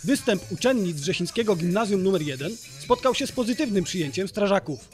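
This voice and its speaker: A man's voice: high-pitched, lively intonation, brisk. A man reading scripted narration for a local news report. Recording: voice-over with music behind. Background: music.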